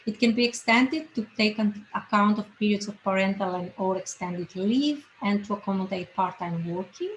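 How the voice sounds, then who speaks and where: A woman from Greece with a Greek accent gives an informal online presentation as a research fellow, in a halting, hesitant way with frequent ums. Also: mid-range voice. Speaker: research fellow, invited panel speaker. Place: online video call. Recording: noisy video call audio.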